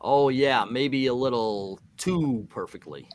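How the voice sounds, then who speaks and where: A man's voice: mid-range voice, takes it slowly, lightly acted. A man playing a nervous, self-doubting American superhero captain, hesitant and muttering, in an amateur comic book reading. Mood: awkward, uneasy.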